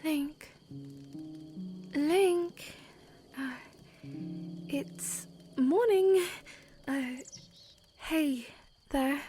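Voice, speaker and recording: Gentle young princess , played by a woman, high and unhurried, earnest, for fantasy audio drama. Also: faint music behind. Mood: flustered, shy. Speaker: voice actor.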